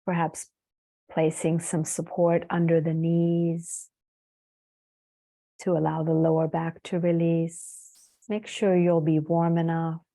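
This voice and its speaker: A woman leading a guided meditation as a yoga teacher, soothing and unhurried, leaving long pauses between phrases. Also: fairly low pitch, completely flat delivery, vocal fry, warm tone.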